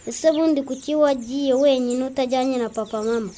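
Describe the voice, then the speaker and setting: A woman's voice: sits high, a little fast, mellow, close to an everyday voice. A woman playing an earnest, concerned schoolchild in a radio drama, speaking eagerly. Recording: faint background noise with birdsong. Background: birdsong.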